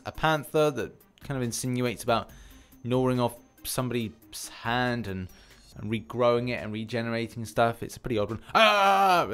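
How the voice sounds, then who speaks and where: A man's voice: medium pitch, a bit bright, clean tone. A man hosting a gaming news video, talking in a chatty, rambling, conversational way with casual commentary and asides.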